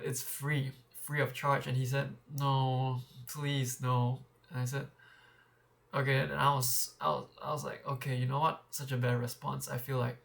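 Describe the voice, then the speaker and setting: A man's voice: medium pitch, vocal fry, a bit bright. A young man talking candidly in a casual vlog, reflecting with frequent restarts and repeated words, recorded on a clip-on shirt mic. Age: young adult. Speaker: vlogger.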